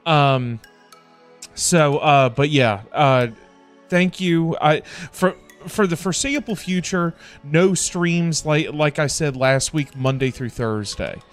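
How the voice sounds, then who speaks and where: A middle-aged man chatting casually on a livestream in a halting, repetitive, informal way. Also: on the high side. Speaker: livestream host. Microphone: close desk microphone. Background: background music.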